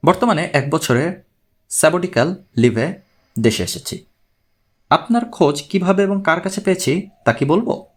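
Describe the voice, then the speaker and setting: Man reading as a formal, husky-voiced professor. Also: on the high side, lively intonation. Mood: composed, polite. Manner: polite, measured, orderly. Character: formal, self-assured male mathematics professor seeking a consultation.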